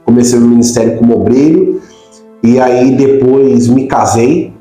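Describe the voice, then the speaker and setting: A man's voice: medium pitch, unhurried, dark tone. A middle-aged Brazilian man from Rio de Janeiro state, a pastor explaining things conversationally as an interview guest. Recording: very echoey room, faint music.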